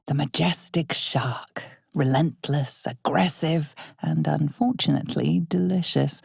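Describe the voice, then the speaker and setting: Slow, low-voiced ominous fantasy game narrator woman. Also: vocal fry, a little mellow, slightly rough, no echo, studio quality, heavily acted. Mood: measured, foreboding. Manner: measured, dramatic storytelling delivery. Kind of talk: scripted second-person fantasy game narration.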